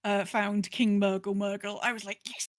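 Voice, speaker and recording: A woman's voice: medium pitch, little pitch movement, moderate pace, no room sound, studio quality. A woman co-hosting a podcast in a casual, playful, chatty way, sometimes reading out messages. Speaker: podcast co-host. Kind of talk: casual chat.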